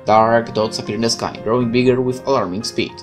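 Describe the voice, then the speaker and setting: Really low voiced woman reading a story game out loud, chatty comments, faint music behind. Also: fairly even intonation, a little fast. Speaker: game player narrating a playthrough. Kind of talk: reading story text aloud with casual commentary.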